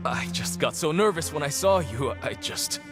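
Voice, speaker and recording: An actor playing a nervous, fawning prison inmate who stammers and grovels, in video game dialogue. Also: male voice, a little high, animated, normal speed, over music, close to an everyday voice. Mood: flustered. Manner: stammering, anxious, obsequious.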